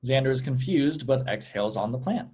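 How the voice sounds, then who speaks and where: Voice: mid-range voice, animated, a little fast, a little mellow, a little hiss or hum. A narrator reading out stage directions at a script table read.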